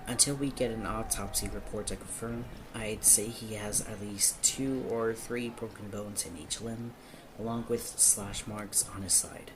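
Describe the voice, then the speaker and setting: A man's voice: fairly low pitch, fairly even intonation, a little slow, background noise, lightly acted. A man playing a nervous, awkward small-town police officer in an audio roleplay, hesitant and apologetic in manner. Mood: matter-of-fact, somber. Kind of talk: audio roleplay acting, one-sided dialogue.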